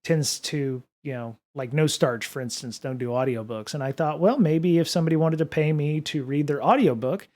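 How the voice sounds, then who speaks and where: A man's voice: on the high side, normal speed, hardly any pauses, darkish tone. A man hosting a casual livestream from his home studio, rambling with lots of fillers and restarts while answering viewer comments. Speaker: podcast and livestream host. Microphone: studio microphone at desk.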